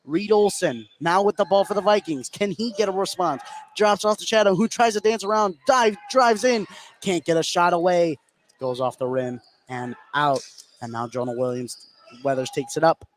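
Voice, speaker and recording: Male play-by-play announcer, high voice, excitable, emphatic, live basketball call. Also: normal speed, slight background noise. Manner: excitable, emphatic on big plays. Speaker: play-by-play sports commentator.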